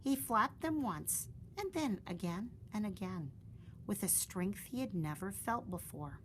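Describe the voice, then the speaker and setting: A woman reading a children's fairy tale aloud in a gentle, expressive storytelling manner. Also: mid-range voice, unhurried, slight background noise, poor audio.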